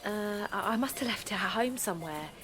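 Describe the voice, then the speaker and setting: An actress playing Linda, an exhausted young mother, who narrates and talks in a halting, apologetic way. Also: medium pitch, expressive, a little hiss or hum, natural voice. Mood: flustered. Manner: halting, apologetic, often softly spoken. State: tired, overwhelmed. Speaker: narrator and lead character. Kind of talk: audio drama acting.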